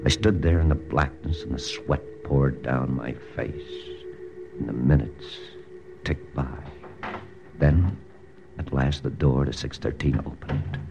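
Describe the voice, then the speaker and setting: A man's voice: rumbling low, a little slow, creaky, highs cut off, music in the background, heavily acted. An actor in a 1940s radio drama playing an American spy officer who narrates his story, terse and brooding. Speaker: narrator and lead character.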